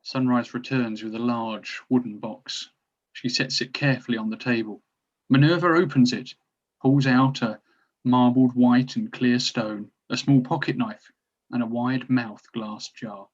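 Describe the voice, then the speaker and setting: A man's voice: mid-range voice, fairly even intonation, unhurried, muffled recording. A man narrating stage directions from a script at a drama table read.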